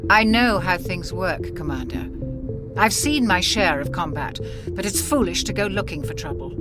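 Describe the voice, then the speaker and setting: An actress playing a calm, measured female ship's doctor in a video game. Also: on the low side, loud music behind the voice, lightly acted. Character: level-headed female ship doctor. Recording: game audio with score.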